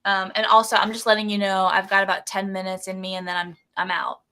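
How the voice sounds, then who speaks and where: A woman's voice: mid-range voice, level tone, fast, sharp tone, smooth. A woman co-hosting a casual whiskey livestream, talking in a candid, earnest and slightly self-conscious way.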